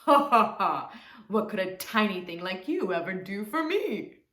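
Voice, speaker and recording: Woman putting on a loud scornful lion, echoey room. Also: medium pitch, very animated, moderate pace, far from the mic, natural voice. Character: big proud gruff male lion, scornful. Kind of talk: children's storytelling of a fable.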